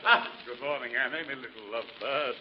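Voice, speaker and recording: A man's voice: medium pitch, brisk, a crowd in the background, close to an everyday voice. A man playing a hammy, pompous rich older husband in a 1940s radio comedy, theatrical and full of flowery endearments. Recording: dull old radio recording, faint hiss.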